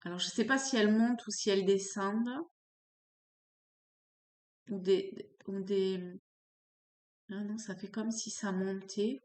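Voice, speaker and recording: A woman with a cold doing an unscripted tarot reading, pausing often and searching for words. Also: mid-range voice, steady tone, darkish tone, echoey room. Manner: halting, searching for words with many fillers. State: has a cold. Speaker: tarot card reader.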